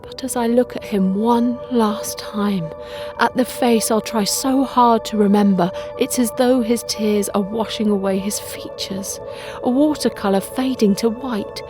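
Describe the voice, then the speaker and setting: A woman's voice: fairly high pitch, fairly even intonation, unhurried. Emotive woman narrator over music. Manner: emotive, intimate storytelling. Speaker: audiobook narrator. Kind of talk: dramatic scripted narration.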